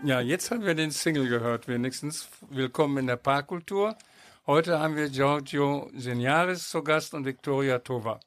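A man's voice: medium pitch, normal speed, a bit bright, dry recording, faint music behind. A man with a German accent hosting a casual radio interview, joking and hesitating with many uhs. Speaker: radio host.

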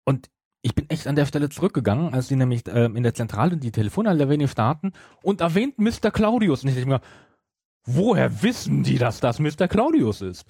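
A man's voice: fairly high pitch, dark tone, slightly rough, no room sound, studio quality. A man chatting as a podcast co-host, analytical and questioning in a casual way. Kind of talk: casual fan discussion.

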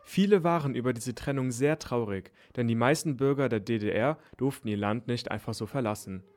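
A man works as a newsreader, delivering scripted news items written for children. His pitch sits in the middle range for a male voice, and he reads in the style of a radio bulletin. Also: fairly quick, darkish tone.

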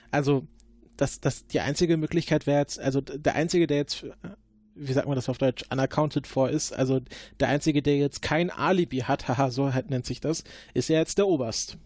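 Halting man, close dry recording. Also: medium pitch, steady tone, darkish tone, no room sound. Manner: halting, repeats words while thinking. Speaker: player questioning suspects in an investigation game. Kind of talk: improvised role-play questioning and deduction.

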